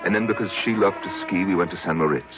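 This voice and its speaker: An actor playing a broken, insecure middle-aged husband in a 1970s radio drama, halting and anguished, repeating his words. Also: deep voice, lightly acted. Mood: brooding. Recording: muffled old radio broadcast with music.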